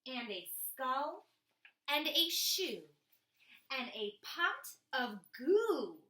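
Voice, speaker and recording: Woman, teacher reading to children, high bright voice, expressive, encouraging, roomy echo. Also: extremely slow. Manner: expressive, encouraging, asks the listener questions. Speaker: elementary school teacher. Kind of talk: children's picture-book read-aloud. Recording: echoey room.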